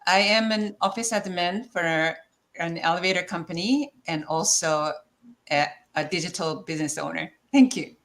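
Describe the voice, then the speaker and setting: A woman's voice: on the low side, moderate pace, a bit bright. A woman from Korea speaking informally as a co-host on an online talk show. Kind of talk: informal self-introduction.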